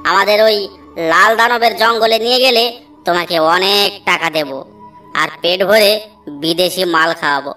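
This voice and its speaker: A woman's voice: medium pitch, moderate pace, bright, forceful tone, close to an everyday voice. A woman voicing a reckless, pushy male traveller in a Bengali cartoon, brash and coaxing, fond of liquor. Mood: coaxing, teasing. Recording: distant voice over faint music.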